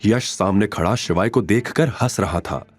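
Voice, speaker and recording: A man's voice: on the low side, moderate pace. A man narrating an audio drama story in a dramatic, scripted storytelling style. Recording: music behind.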